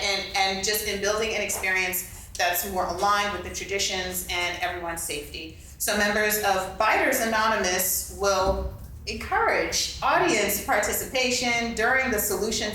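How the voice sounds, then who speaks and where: A woman's voice: mid-range voice, bright, forceful tone. A woman moderating a live panel and puppet show at a convention. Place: convention meeting room. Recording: very echoey room, distant mic, faint noise.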